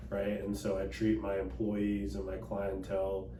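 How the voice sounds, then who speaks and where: A man from Texas, Cantonese his first tongue, talks in a low, level voice with easygoing fillers. He sounds distant from the mic in an echoey room, with clear background noise underneath. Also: unhurried. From Dallas, Texas. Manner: relaxed, conversational, frequent fillers. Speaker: political candidate and attorney. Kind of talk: casual interview conversation.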